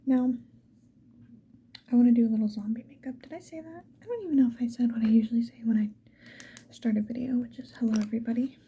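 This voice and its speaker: A woman doing casual ASMR, whispering softly and musing to the viewer in an unhurried get-ready-with-me chat. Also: a little high. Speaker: ASMR video creator. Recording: muffled lo-fi with background hiss.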